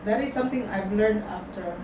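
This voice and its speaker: A young woman from Tanzania, talking slowly and thoughtfully in a personal vlog and slipping Swahili words into her English. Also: mid-range voice. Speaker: vlogger. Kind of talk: reflective personal vlog, answering questions. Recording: roomy sound from a distant mic with loud background noise.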